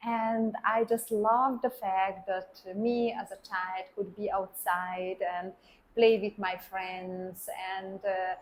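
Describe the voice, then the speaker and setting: A middle-aged Hungarian woman talks freely as a podcast guest, her English carrying a Hungarian accent and a few non-native turns of phrase. She laughs easily, and her voice sits at an ordinary pitch. A faint hiss of noise runs behind her. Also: little pitch movement, slow pace, low-quality recording. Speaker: podcast guest, German teacher and dancer. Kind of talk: casual interview chat.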